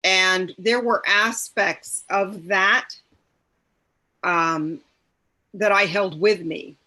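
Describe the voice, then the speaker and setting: Female actor, very unhurried, halting, casual panel chat, frequent pauses. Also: mid-range voice, fairly even intonation, pushed and bright. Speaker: actor in an audio play cast.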